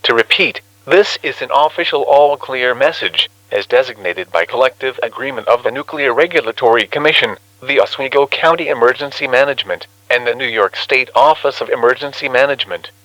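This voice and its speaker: A man's voice: medium pitch, fairly quick, hardly any pauses, fairly bright tone, no echo, rough recording. A man reading a formal scripted emergency bulletin in an official, careful manner. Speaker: emergency alert announcer.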